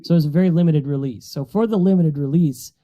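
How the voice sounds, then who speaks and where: A man's voice: on the high side, fast talker, a crowd in the background. A man chatting casually on a podcast about movies, telling things in a rambling, amused way. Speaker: podcast panelist.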